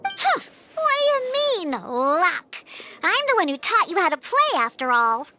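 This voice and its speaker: A little cat girl with a very high, childlike voice and lively intonation. She is earnest and a touch huffy, in game dialogue with music behind. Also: a little slow, exaggerated voice. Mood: slightly huffy. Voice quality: childlike, girlish. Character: young cat-eared girl bartender, earnest and a little prickly. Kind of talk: video game character dialogue.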